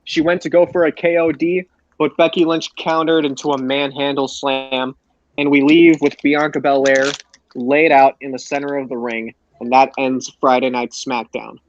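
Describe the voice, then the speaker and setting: A man's voice: mid-range voice, little pitch movement, normal speed, dry recording, muffled recording. A man hosting a podcast, casually recapping wrestling shows and handing over to his co-hosts. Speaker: podcast host leading the roundup.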